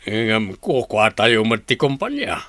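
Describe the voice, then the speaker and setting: Man acting slow, deliberate father figure. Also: on the low side, sing-song, sharp tone, dry recording, full character voice. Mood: stern, probing. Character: slow-spoken, firm, advising older father. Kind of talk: Ilocano radio drama acting.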